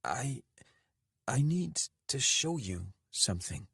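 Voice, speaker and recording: An intense heroine of a fantasy adventure, fierce and protective, voiced by a male narrator. The pitch sits raised above his narration, with a breathy edge and earnest, forceful phrasing. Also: sing-song, slow pace, dry recording, clean studio sound, full character voice. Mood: urgent. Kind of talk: fantasy audiobook character voice.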